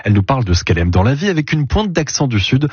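A man's voice: medium pitch, sing-song, rapid-fire, darkish tone, slightly rough, dry recording. A man presenting a morning radio show, reading listener messages and running a contest in a chatty, upbeat way. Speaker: radio host. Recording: lo-fi radio broadcast.

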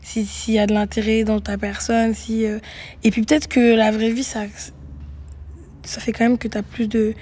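Young woman guest, husky voice, reflective and hesitant, at a studio mic. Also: a little high, level tone, moderate pace, a little mellow. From Greece. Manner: thoughtful, many hesitations and restarts. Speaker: guest musician. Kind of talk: reflective radio interview answers.

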